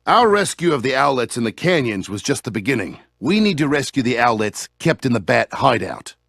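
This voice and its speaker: A man's voice: medium pitch, very animated, brisk, gravelly, background noise. An actor playing a resolute, gallant male owl warrior in a video game, with an earnest, rallying manner. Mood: determined. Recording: music under the voice.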